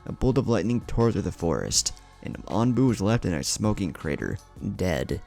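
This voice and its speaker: A man's voice: sits low, sing-song, normal speed, creaky, darkish tone, soft background music. A man reading a scripted adventure story aloud as its narrator. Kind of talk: scripted narration of fan fiction.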